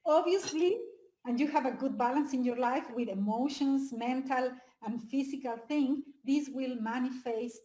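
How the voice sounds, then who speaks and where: A woman from Mexico with a Mexican Spanish accent, a life coach giving a lively live webinar talk to an audience, often asking them questions. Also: high-pitched, normal speed, roomy sound, low-quality recording. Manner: energetic, asks the audience questions, nonnative phrasing.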